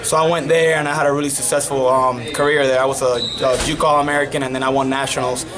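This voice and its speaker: A young man from the Dominican Republic, a native Spanish speaker, giving a relaxed post-match interview as a college wrestling coach, full of you-knows. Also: medium pitch, fast. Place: wrestling tournament arena. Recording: loud arena crowd behind. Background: crowd noise.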